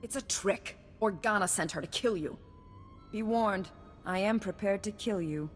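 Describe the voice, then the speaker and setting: An actress playing a cold, scornful Sith spy in a video game, haughty and threatening. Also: female voice, mid-range voice, moderate pace, close to an everyday voice. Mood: cold threat. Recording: reverberant hall with music behind.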